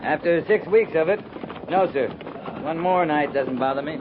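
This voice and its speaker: An actor playing a steady, reserved frontier cavalry captain in a 1950s radio western, speaking in a measured way. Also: male voice, on the high side, close to an everyday voice. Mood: steady, weary. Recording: tinny 1950s radio recording, busy background.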